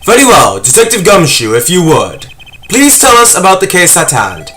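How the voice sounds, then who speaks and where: A man playing a courteous, mildly puzzled elderly judge in a video game fan dub, speaking formally and deliberately. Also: high-pitched, huge pitch swings, pushed and bright, faint music behind, heavily acted. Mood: stately.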